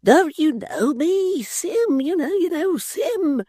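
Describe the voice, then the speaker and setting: A woman's voice: very high-pitched, very animated, slow, warm tone, no room sound, studio quality, pitched above the performer's own voice, full character voice. A woman voicing a vain young male apprentice, urgent and coaxing.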